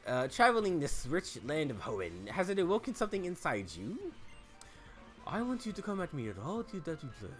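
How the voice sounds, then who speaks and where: Give me a suave, smooth-voiced male champion trainer from a game, put on by a man. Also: on the high side, wildly swooping pitch, moderate pace, faint music behind. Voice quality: smooth, hushed.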